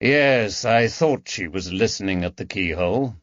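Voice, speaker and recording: A man's voice: fairly low pitch, animated, normal speed, a bit bright, no echo, dull audio. Man as a dry, sardonic, taunting detective. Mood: dry, mocking. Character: shrewd, sardonic male detective, coldly mocking. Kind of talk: audiobook character dialogue.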